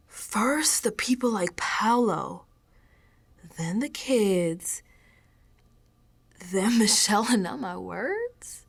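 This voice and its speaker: An actress playing a frightened, defiant young woman who is unraveling, in a colloquial, halting radio drama monologue. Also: fairly high pitch, exaggerated voice. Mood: rattled disbelief. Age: young adult. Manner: colloquial, halting, slow, drifting into hushed tones.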